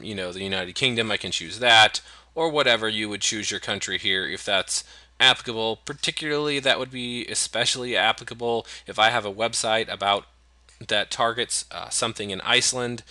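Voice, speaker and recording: A man from the United States walking through software settings in a relaxed, explanatory way, with frequent fillers and restarts. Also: lowish voice, fairly quick, no room sound. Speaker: software tutorial presenter. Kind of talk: screen-recorded software walkthrough.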